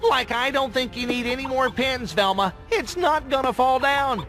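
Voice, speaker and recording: A man's voice: very high voice, moderate pace, over music. A man voicing a cowardly, always hungry teenage slacker with an American accent and a whiny, cracking voice. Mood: joking. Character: cowardly, hungry teenage slacker boy, laid-back goofball.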